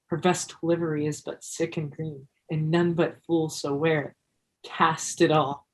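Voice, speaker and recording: A man's voice: on the high side, level tone, measured pace, poor audio. A man playing a reluctant, dreamy teenage boy in a stage play, earnest and grumbling. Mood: dreamy, reciting.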